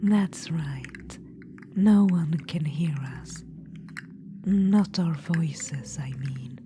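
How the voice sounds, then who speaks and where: A woman's voice: low voice, very slow, background noise. A woman voicing a scheming undead witch-queen in a whispered audio roleplay, confiding and fond. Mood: coaxing. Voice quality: soft whisper. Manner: confiding, fond, slyly amused. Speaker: ASMR roleplay performer. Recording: music underneath. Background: background music.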